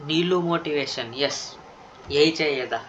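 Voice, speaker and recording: A man's voice: on the high side, rapid-fire, fairly bright tone, soft background music. A man with an Indian accent giving casual running commentary as he streams a game. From India, Maharashtra. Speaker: gameplay streamer. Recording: dull, lo-fi mic, faint noise.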